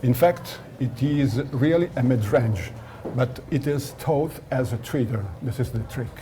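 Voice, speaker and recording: A man's voice: medium pitch, measured pace. A man giving a technical explanation in an interview, didactic and often searching for words, at a noisy trade show. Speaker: loudspeaker designer being interviewed. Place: audio trade show in Milan.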